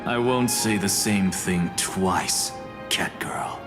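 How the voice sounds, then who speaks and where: An actor playing an arrogant young scholar sage, speaking with scorn and cool disdain, in a video game with music behind. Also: male voice, low-pitched. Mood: commanding. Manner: scornful, dismissive, measured.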